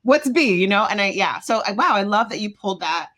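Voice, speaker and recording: Podcast guest: a woman from Jamaica, expressive, acts out voices, mid pitch. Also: brisk. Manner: expressive, acts out quoted voices, laughs often. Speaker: guest, self-esteem expert. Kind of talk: animated podcast conversation.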